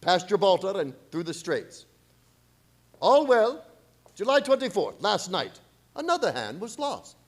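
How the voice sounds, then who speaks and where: Grave ship's captain with a high, swooping voice played by a man. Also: very animated, a little slow, pauses often, full character voice. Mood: grim. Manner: terse, telegraphic, dramatic delivery. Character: grave, fearful foreign ship's captain reading his log. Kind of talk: radio play acting, clipped log entries.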